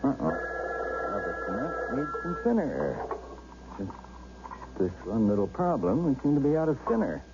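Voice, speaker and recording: An actor playing a married commercial artist who narrates his own story in a 1970s radio drama, relaxed and friendly. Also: mid-range voice, moderate pace, pauses often, very noisy. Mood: cheerful. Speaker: narrator and lead. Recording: dull 1970s radio broadcast.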